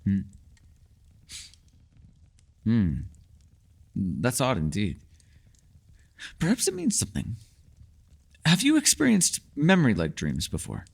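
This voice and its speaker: A man's voice: medium pitch, wildly swooping pitch, slow, creaky, long pauses, husky, exaggerated voice. A man playing a mysterious, ageless male elf in a fantasy ASMR roleplay, teasing yet secretive, with courteous, formal, old-fashioned phrasing. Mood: thoughtful, reassuring.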